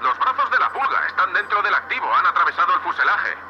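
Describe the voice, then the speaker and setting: A man's voice: high-pitched, big pitch swings, quick pace, faint music behind. A man with a Castilian Spanish accent playing a blunt, foul-mouthed space salvage boss in a sci-fi audio drama, heard over a radio link. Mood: stunned, urgent. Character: gruff, sweary space salvage boss, awed by alien tech. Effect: radio comms filter. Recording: muffled, noisy space-suit radio link.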